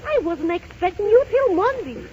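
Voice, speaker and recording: A woman's voice: very high voice, very animated, moderate pace. An actress playing a theatrical, flippant empress doting on her husband in a 1930s radio comedy sketch, with exaggerated mock-dramatic cooing, on a dull, noisy old broadcast. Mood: gushing.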